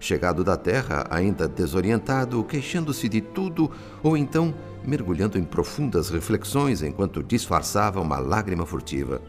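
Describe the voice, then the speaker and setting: An actor with a Brazilian accent playing a wise, kindly spirit friend in a radio drama, speaking in a theatrical, didactic way. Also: male voice, deep voice, very animated, moderate pace, hardly any pauses, soft background music. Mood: reflective narration. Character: wise, kindly male spirit friend narrating his afterlife story.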